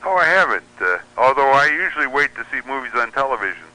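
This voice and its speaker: A man's voice: medium pitch, very animated, fast talker, pushed and bright, rough recording. A middle-aged or older man reminiscing in casual, anecdotal conversation as a guest on a radio show.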